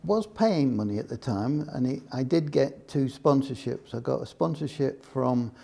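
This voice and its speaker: An older man from Scotland recounting his working life in an oral history interview, measured and explanatory, often restarting his sentences. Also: mid-range voice, animated, creaky, dark tone. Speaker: interviewee, former computing employee.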